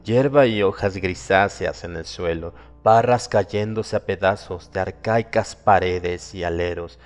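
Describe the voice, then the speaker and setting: A man narrating a horror audiobook in a dramatic, solemn and suspenseful manner, with deliberate phrasing. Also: sits low. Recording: long reverb, music behind. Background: music.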